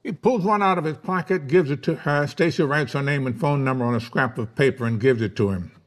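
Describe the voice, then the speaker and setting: A man's voice: medium pitch, brisk. Casting: male narrator for screenplay directions, middle-register voice, scripted delivery. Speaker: narrator reading stage directions.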